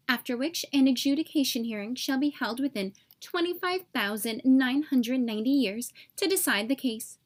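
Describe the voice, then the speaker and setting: A woman voicing a stern, prim tooth fairy bureaucrat in a storybook, formally and pompously reciting regulations. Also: high voice.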